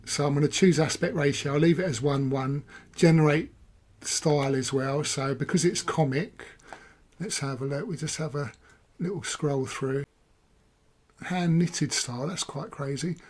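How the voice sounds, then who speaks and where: Hoarse man demoing software. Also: on the high side, moderate pace. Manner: rambling, self-correcting, thinking aloud. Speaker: software reviewer. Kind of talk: casual screen-recorded walkthrough.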